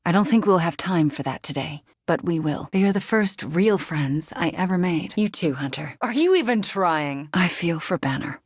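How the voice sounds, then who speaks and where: Young sorceress heroine, video game, breathy and husky, heartfelt, wry, defiant, dry studio sound. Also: female voice, lowish voice, animated, normal speed, few pauses, no echo. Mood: earnest, conversational. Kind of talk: video game character voice lines.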